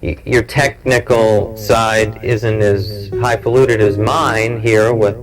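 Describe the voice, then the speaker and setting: A man in his forties hosting a show, talking informally in a musing, halting, rambling way. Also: on the low side, steady tone, music in the background, rough recording. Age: 40s. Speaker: cable TV show host and producer. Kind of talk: informal interview talk and reminiscence. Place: small equipment-packed studio. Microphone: clip-on mic.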